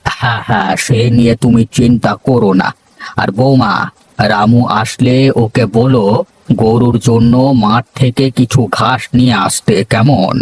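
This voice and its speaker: Slightly husky, fretful older village father played by a man. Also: medium pitch, level tone, unhurried. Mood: resigned. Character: worried older village father running a small eatery. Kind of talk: cartoon voice acting.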